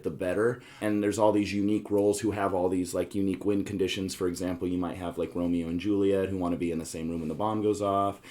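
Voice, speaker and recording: A man hosting a casual podcast about board games, explaining things in a rambling way and circling back over his points. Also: lowish voice. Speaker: podcast host.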